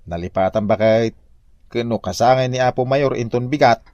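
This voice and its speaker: A man's voice: mid-range voice, moderate pace, a little mellow, no echo, dull audio, lightly acted. A man playing a scheming, gluttonous village husband in an Ilocano comedy drama, blustering and evasive.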